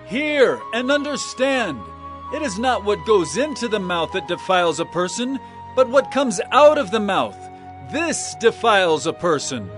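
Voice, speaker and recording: Authoritative, reproving teacher for scripture drama: higher register, very animated, declamatory. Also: male voice, measured pace, music in the background. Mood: earnest teaching.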